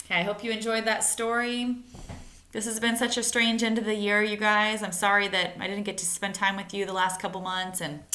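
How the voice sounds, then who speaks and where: A woman's voice: medium pitch, fairly quick. Woman reading a picture book, echoey room. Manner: expressive, addressing young children. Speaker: teacher reading aloud to pupils. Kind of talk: read-aloud of a children's picture book with asides. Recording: echoey, roomy sound.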